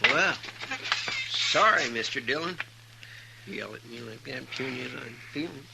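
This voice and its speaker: An American actor with a rural Western drawl playing a folksy, complaining deputy in a 1950s radio drama. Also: male voice, medium pitch, expressive, moderate pace, vocal fry, noisy background. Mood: cozy, plaintive. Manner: folksy, hesitant, plaintive. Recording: old radio broadcast with loud music.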